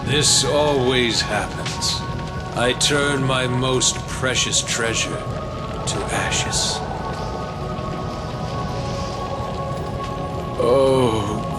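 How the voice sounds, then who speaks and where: A man voicing a commanding, lovelorn fire king in a video game, with theatrical, grandiose delivery. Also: a little high. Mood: anguished, possessive. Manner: theatrical, grandiose, archaic phrasing. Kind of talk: dramatic video game acting.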